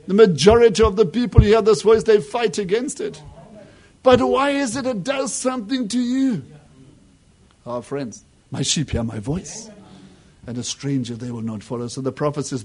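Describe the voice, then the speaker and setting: A man preaching a sermon with fervent emphasis that drops into hushed asides. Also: high-pitched, breathy edge.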